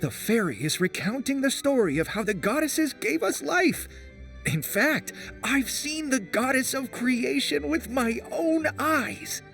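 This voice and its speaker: An actor playing a fussy, excitable small forest creature who stammers eagerly and defends himself, in a game cutscene. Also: male voice, very high-pitched, unhurried, vocal fry, full character voice. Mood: earnest. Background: music.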